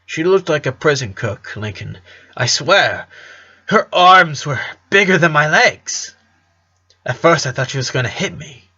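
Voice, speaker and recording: A man plays a middle-aged cartoon dad with a bright, forceful voice. He tells nostalgic family stories with earnest fondness and a joking streak. The recording is slightly dull and lo-fi. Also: a little high, animated, unhurried, slightly rough, pitched above the performer's own voice, heavily acted. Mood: tender, nostalgic. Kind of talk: cartoon fan fiction reading.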